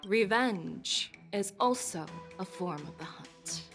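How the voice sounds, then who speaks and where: A woman playing a shrewd, authoritative general in a game cutscene, questioning in a formal, measured and pointed way. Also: medium pitch, lively intonation, a bit bright. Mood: skeptical. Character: shrewd, authoritative female general conducting a probing interrogation. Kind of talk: scripted game cutscene acting. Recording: background music.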